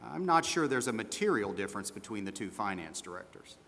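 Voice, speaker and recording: Man playing defensive male auditor, lowish voice, formal, hesitant, staged hearing, long hall reverb. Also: very animated, brisk, gravelly, fairly bright tone, slight background noise, heavily acted. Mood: measured, defensive. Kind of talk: amateur dramatization read on stage.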